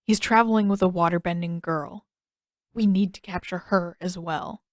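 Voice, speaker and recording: A woman's voice: fairly low pitch, steady tone, normal speed, no echo, studio quality, lightly acted. A woman voicing a stern, brooding teenage prince who speaks formally and commands, in an audiobook. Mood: stern, determined. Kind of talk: audiobook character voice.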